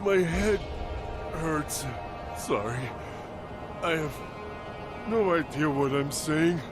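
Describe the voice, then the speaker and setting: A forlorn, simple-minded game character, with a very high, plaintive voice. He speaks very slowly and haltingly, like a confused child, over prominent music. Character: lonely, childlike, simple-minded man searching for companions. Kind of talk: video game cutscene acting.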